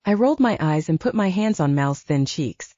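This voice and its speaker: A woman's voice: low-pitched, expressive, moderate pace, dark tone, no room sound, highs cut off. A woman reading first-person fiction as an audiobook narrator.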